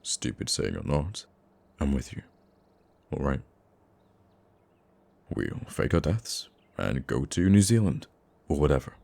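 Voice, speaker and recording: A man playing a gruff, teasing supervillain with a secret soft side in an intimate ASMR roleplay, dry and grumbling but affectionate. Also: big pitch swings, takes it slowly, long pauses, full character voice. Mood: dry, reassuring. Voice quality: very deep, gravelly.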